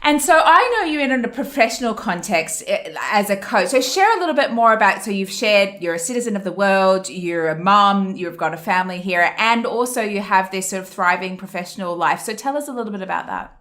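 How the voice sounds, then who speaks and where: A woman's voice: medium pitch, lively intonation, a little fast, few pauses, fairly bright tone. A woman podcast host in a conversational interview, speaking in an affirming, reflective way.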